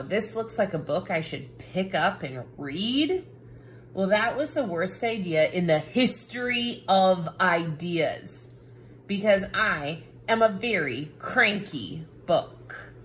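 A woman plays a cranky storybook character, a talking book that bosses and exclaims. The delivery is very animated and swoops up and down, at an unhurried pace. Faint noise sits behind the voice. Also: fairly low pitch. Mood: cranky, exasperated. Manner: bossy, dramatic exclamations. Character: cranky, grumpy talking picture book, bossy and easily exasperated. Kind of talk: children's picture book read-aloud.

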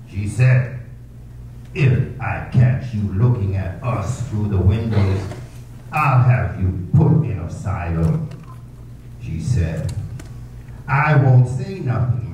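Male actor as a slow, dark-voiced simple old beachcomber, muffled echoey room. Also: on the low side, recorded from a distance, a little hiss or hum. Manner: rustic ungrammatical speech, frightened pleading. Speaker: amateur radio drama actor.

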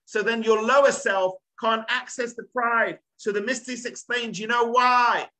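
Animated rabbi teaching over a video call. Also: male voice, extremely high pitch, unhurried, low-quality recording. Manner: animated, emphatic, gesturing a lot. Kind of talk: online Torah class. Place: home study with bookshelves, indoors. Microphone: webcam on a video call.

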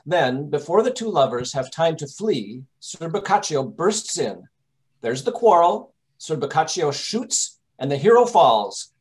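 A man playing a brisk, impatient gentleman detective giving orders in old-time radio drama style. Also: a little high, very animated, poor audio. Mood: brisk, commanding. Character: brisk, commanding, impatient English gentleman detective directing rehearsal. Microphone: video call.

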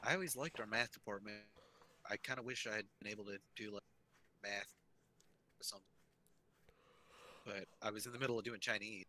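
A man's voice: on the low side, a little fast, long pauses, sharp tone, a little hiss or hum, low-quality recording. A young man chatting casually and telling personal stories while playing an online game. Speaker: player in an online game session. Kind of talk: casual chat and storytelling while gaming. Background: music.

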